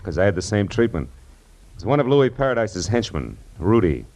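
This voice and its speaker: An actor playing a hard-boiled, world-weary private detective with clipped, sardonic delivery in a vintage radio drama. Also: male voice, lowish voice, big pitch swings, normal speed, a little mellow, poor audio. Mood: wry, easygoing. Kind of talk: 1940s radio acting, first-person narration and dialogue.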